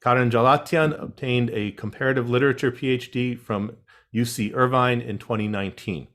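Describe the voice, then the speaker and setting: A man moderating an online panel, reading guest introductions in a measured way with wry asides over a video call. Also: mid-range voice, steady tone, fairly bright tone.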